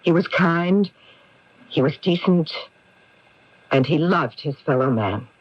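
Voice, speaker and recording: An actress playing a worldly, evasive, sharp-tongued middle-aged newspaperwoman, with theatrical emphasis, in a 1980s radio mystery. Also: deep voice, animated, takes it slowly, slightly rough, no echo, heavily acted. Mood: solemn. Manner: theatrical, emphatic, repeats words. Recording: dull, dry lo-fi radio broadcast.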